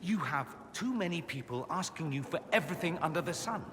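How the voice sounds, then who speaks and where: A man's voice: on the high side, expressive, fairly quick, a bit bright. An actor playing a proud, defiant man in a dramatic video game cutscene, speaking with indignant, emphatic insistence. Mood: earnest, frustrated. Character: proud, defiant man refusing to owe anyone. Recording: long reverb, faint noise.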